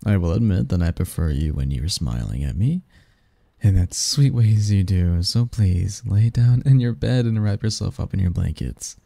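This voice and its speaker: A man playing a possessive, teasing sleep demon lover in an intimate ASMR roleplay, speaking softly in formal, flirtatious phrases. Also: sits low, animated, moderate pace, creaky, no room sound, full character voice. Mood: teasing, seductive. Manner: flirtatious, formal wording, soft intimate delivery, chuckling.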